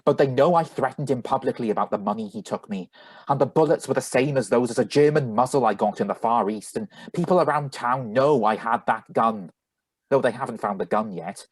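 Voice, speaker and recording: A man playing an earnest, worried husband with a husky voice in a live radio thriller reading. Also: mid-range voice, a little fast, no room sound, lightly acted, poor audio. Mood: worried, pleading. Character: Bill, earnest worried husband wrongly arrested for murder.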